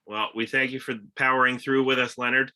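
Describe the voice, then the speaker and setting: A man co-hosting a casual podcast, upbeat and teasing. His voice is very bright and sharp, at an ordinary pitch. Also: little pitch movement, brisk, smooth. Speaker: podcast co-host.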